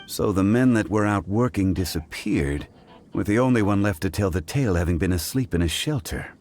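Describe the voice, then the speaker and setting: A man playing a composed, thoughtful and formal scholarly gentleman in a video game. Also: sits low, lively intonation, a little hiss or hum. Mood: courteous, analytical. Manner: measured, formal, pensive.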